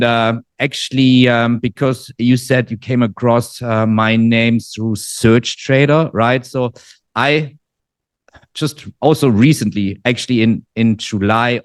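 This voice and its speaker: A man in his forties from Germany with a German accent, talking in a relaxed interview with many fillers and restarts. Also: mid-range voice, no room sound. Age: 40s. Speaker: guest trader. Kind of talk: casual interview. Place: living in Dubai.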